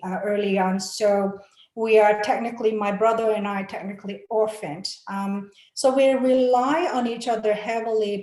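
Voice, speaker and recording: A woman's voice: mid-range voice, darkish tone. A woman from Malaysia, giving an earnest, encouraging talk on a webinar panel, full of fillers and restarts. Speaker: panel speaker. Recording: echoey room.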